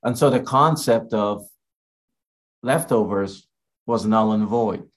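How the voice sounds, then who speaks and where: A man from Guyana, an evangelist, preaching a sermon in a slow, deliberate way with emphatic rises. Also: lowish voice.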